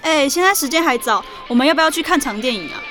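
A woman's voice: high voice, animated, fast. A young woman playing a teasing, caring teenage schoolgirl in an audio drama, speaking Taiwanese-accented Mandarin. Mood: playful. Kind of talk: amateur audio drama acting. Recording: roomy echo under music. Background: music.

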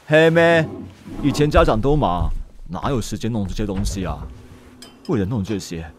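Grumbling, lively flustered middle-aged man, a man acts. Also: lowish voice, normal speed, a little hiss or hum, natural voice. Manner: huffy, flustered, protests loudly. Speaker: stubborn dad. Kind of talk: BL audio drama acting. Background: music.

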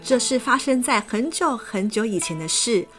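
Lively woman storyteller with music. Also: a little high, moderate pace. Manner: lively, expressive. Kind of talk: children's story narration. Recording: background music.

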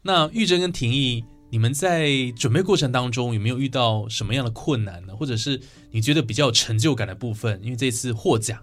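A man's voice: mid-range voice, brisk, hardly any pauses. A man with a Taiwanese Mandarin accent hosting a conversational interview, asking questions and summing up answers. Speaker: radio show host. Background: soft guitar music.

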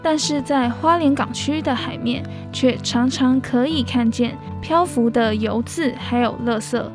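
High-pitched Taiwanese woman reporter over music. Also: unhurried. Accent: Taiwanese Mandarin. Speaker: campus radio reporter. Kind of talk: scripted news narration. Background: guitar music.

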